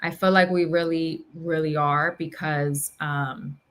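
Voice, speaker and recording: A woman's voice: sits low, steady tone, a little slow, crowd noise. A woman talking reflectively in conversation, hesitant and searching for her words. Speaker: podcast host.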